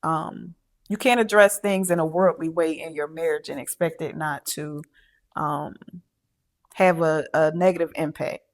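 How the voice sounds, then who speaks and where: A middle-aged woman co-hosting a podcast in casual chat, talking haltingly with many fillers and restarts. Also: low-pitched, fairly even intonation. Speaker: podcast co-host.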